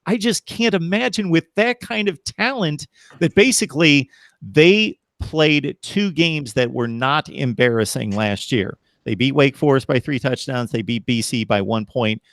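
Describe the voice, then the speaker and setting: A man hosting a college football podcast, talking in a measured, analytical way. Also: mid-range voice, lively intonation, a bit bright, no room sound. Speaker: podcast host. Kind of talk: casual sports podcast chat.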